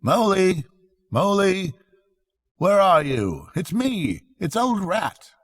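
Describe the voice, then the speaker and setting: Kindly brave river rat, raised pitch, breathy edge, fatherly; male reader puts it on. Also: animated, a little slow, no room sound, studio quality. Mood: calling out. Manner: reassuring, fatherly, slightly higher than the narration.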